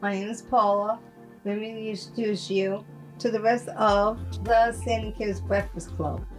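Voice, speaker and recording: A woman's voice: medium pitch, steady tone, a little slow, soft background music, low-quality recording. A woman hosting a podcast with scripted introductions. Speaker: podcast host.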